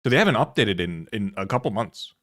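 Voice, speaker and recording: Guy streaming, just chatting with viewers, rambly and stop-start, thinks out loud, swears casually. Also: on the low side, lively intonation. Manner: rambling, halting, thinking aloud. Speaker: live streamer. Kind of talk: casual chat, reacting to viewers.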